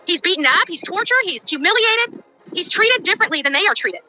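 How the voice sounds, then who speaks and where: A woman's voice: very high-pitched, lively intonation, a little fast, bright, forceful tone, dull audio, faint music behind. A woman in an impassioned livestream rant, speaking with indignant, emphatic delivery.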